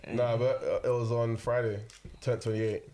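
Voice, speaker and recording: A man's voice: mid-range voice, little pitch movement, normal speed, dark tone. A man, co-hosting a podcast and chatting casually, playful but reflective at times. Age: 20s. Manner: playful, laughs, then reflective.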